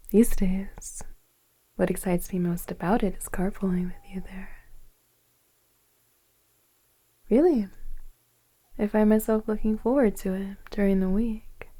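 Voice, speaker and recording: A woman playing a gently flirtatious, affectionate woman in her forties in an intimate ASMR roleplay, speaking softly and breathily, often near a whisper, without hurry. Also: medium pitch, close to an everyday voice. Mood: tender, appreciative. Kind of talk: ASMR romantic roleplay, intimate one-sided dialogue.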